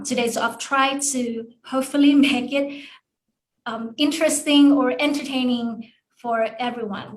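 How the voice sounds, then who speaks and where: Woman lecturing in an echoey room. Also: on the high side. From Thailand. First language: Thai. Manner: polite, measured, explanatory. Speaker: guest lecturer, sociolinguist. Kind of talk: online academic lecture.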